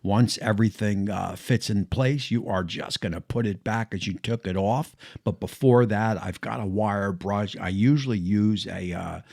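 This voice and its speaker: A man with a somewhat low voice hosts a backyard barbecue vlog. He talks casually and chattily, often restarting sentences with fillers as he walks through a fix outdoors. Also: moderate pace, creaky, few pauses, darkish tone, dry recording, studio quality. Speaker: BBQ channel host.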